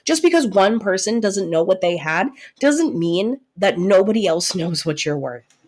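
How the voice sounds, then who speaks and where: A young woman playing a caring, protective best friend secretly in love, in soft, intimate roleplay audio, with a husky, breathy voice and a teasing, reassuring way of talking. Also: medium pitch, very animated, moderate pace. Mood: earnest reassurance. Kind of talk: soft-spoken roleplay audio acting addressed to listener.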